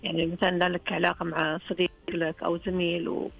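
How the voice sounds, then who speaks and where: A woman's voice: lowish voice, level tone, fast, gravelly, fairly bright tone, soft background music, rough recording. A woman with a Saihat Saudi accent, calling in to a radio show and chatting earnestly and frankly. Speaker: radio call-in caller. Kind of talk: casual phone-in chat.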